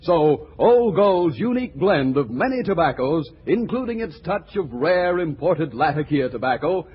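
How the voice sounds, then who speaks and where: Very husky 1940s radio ad announcer, man. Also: on the high side. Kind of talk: 1940s scripted radio advertisement. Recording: muffled 1940s radio recording.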